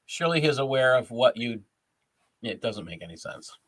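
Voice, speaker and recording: A man with a medium-pitched voice who talks like an educator. He explains each point step by step in a casual, conversational way. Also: lively intonation, fairly quick, a bit bright. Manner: explanatory, like a teacher walking through a grammar point. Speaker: co-host, educator. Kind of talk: casual critical discussion.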